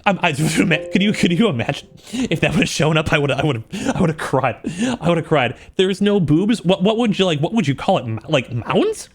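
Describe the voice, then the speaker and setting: Guy streamer w a high voice, swings all over, slangy n incredulous, jokey casual reacting. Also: moderate pace, breathy edge. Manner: animated, incredulous, joking, slangy. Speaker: livestreamer. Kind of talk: casual livestream reaction with book read-outs.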